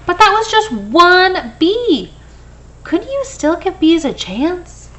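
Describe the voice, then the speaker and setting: Enthusiastic picture-book best friend played by a woman, high, persuasive, very lively pitch. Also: slow, slight background noise, full character voice. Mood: coaxing. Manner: enthusiastic, coaxing. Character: eager, persuasive bee-loving best buddy in a picture book.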